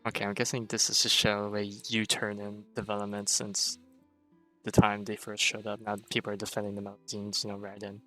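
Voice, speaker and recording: Guy streaming a game, flat bright voice, thinking out loud casually, bit of music behind. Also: fairly low pitch, brisk. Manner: musing, tentative. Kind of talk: casual play-along commentary.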